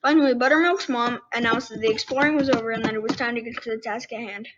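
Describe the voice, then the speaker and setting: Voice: mid-range voice, fast talker, lo-fi sound, noisy background. A ten-year-old child host reading a storybook aloud, with casual asides to the listeners. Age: 10 years old.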